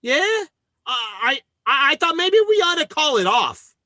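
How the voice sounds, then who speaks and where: An actor playing a scheming, self-important working-class husband in an old-time radio sitcom, with blustery, folksy speech. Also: male voice, very high voice, big pitch swings, unhurried, sharp tone, no room sound, clean studio sound, full character voice. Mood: awkwardly persuasive.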